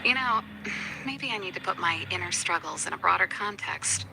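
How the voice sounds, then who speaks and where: A woman's voice: fairly low pitch, animated, normal speed, vocal fry, over music, poor audio. An actress playing a spirited young rocker woman in a video game, casual and candid. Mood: reflective. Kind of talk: conversational video game voice acting.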